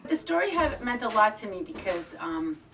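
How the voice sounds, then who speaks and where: A woman's voice: medium pitch, very animated, normal speed, a bit bright, recorded from a distance, slight background noise. A woman performer talking casually and reflectively in an interview. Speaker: actress and monologist.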